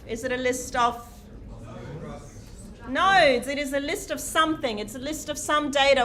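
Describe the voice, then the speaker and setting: Bright, high-voiced woman lecturing. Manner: loud, projecting voice, chatty and self-deprecating, many "um"s. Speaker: university lecturer. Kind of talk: live lecture to a large class. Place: large lecture hall. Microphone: lecture microphone, sometimes off-mic while walking.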